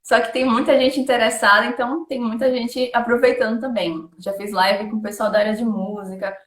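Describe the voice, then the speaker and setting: A chatty woman hosts a live-stream interview and laughs easily. Her voice sits a little high. The room around her rings with echo. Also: little pitch movement, brisk. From Salvador, Bahia, Brazil. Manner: chatty, friendly, laughs often. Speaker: host, German teacher. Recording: echoey room.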